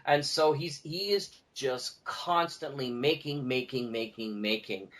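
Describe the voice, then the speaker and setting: A man's voice: mid-range voice, a little slow, fairly bright tone. A man with a mid-range voice talks as a podcast guest in loose, conversational style. He is emphatic, often repeating a key word to drive it home.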